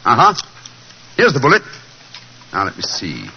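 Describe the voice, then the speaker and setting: A sharp british detective, bright voice, very animated, brisk and commanding, old muffled radio show. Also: male voice, mid-range voice, gravelly, exaggerated voice. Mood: brisk, alert. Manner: brisk, precise, gentlemanly, commanding. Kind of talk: 1940s radio drama acting. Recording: muffled, noisy vintage radio recording.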